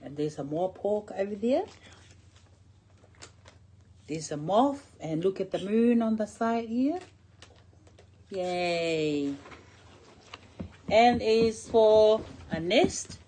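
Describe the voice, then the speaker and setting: Slow-paced woman reading to a child. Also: mid-range voice, expressive, warm tone. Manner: simple short phrases, draws out key words, points things out with questions. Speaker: storyteller reading to a young child. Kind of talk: picture-book read-aloud for a young child.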